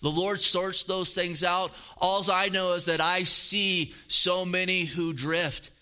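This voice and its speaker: A man in his fifties delivers a sermon with earnest, repetitive emphasis. His voice sits high for a man and rings very bright and smooth. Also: steady tone, takes it slowly. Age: 50s. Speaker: pastor.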